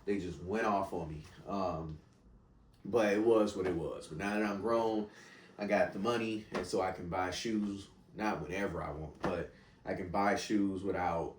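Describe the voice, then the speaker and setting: A man with a very low, stuffed-up voice talks his way through a sneaker unboxing in a loose, rambling manner. He sits a little back from the mic, and the room rings around him. A faint hiss sits under his voice. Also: normal speed, echoey room, distant mic. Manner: rambling, relaxed and chatty. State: congested with a cold. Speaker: sneaker reviewer. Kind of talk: casual sneaker review.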